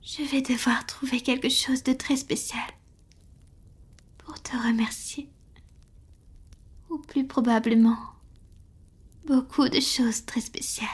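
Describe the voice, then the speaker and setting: A woman playing a tender, devoted female vampire in an intimate roleplay audio, whispering slowly and hesitantly to her lover. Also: high-pitched, steady tone, heavily acted. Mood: moved, tender. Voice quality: breathy, whispered. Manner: slow, hesitant, affectionate, addressing a lover.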